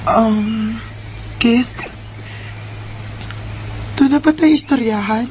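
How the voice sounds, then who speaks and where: Slow, heartbroken young Filipina. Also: female voice, on the high side, a little mellow, a little hiss or hum, poor audio. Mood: hesitant, hurt. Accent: Filipino Bisaya. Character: heartbroken young woman, gentle, hiding her hurt. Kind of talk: radio drama acting and first-person narration. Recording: radio drama with music underneath.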